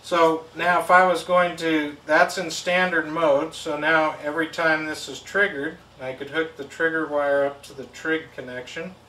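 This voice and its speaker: A man's voice: on the high side, flat delivery, moderate pace, hardly any pauses, sharp tone. A middle-aged man giving a casual, step-by-step instructional demo in plain language. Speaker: product demonstrator. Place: indoor tabletop demo setup with backdrop. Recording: echoey, distant mic with background noise.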